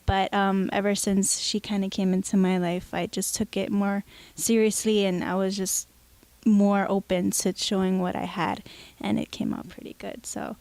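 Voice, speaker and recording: A young woman speaks in an even, flat tone with little rise and fall, modest and a bit hesitant. The sound is dry and close, with no room echo. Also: mid-range voice, normal speed. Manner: soft-spoken, modest, hesitant. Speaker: singer, interview guest. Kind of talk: casual interview answer.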